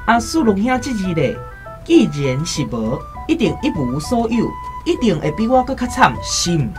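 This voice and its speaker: Woman playing a hot-headed young scholar, swooping pitch. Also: big pitch swings, moderate pace, over music, close to an everyday voice. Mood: incredulous. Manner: indignant, challenging. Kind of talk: Taiwanese radio drama acting.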